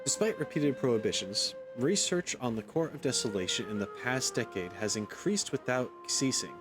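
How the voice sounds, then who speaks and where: A man streams a video game in an informal, rambling way, at a middling pitch. He thinks out loud and reads on-screen text aloud, with music clearly playing behind his voice. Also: lively intonation, moderate pace. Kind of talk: casual let's play commentary, reading in-game text aloud.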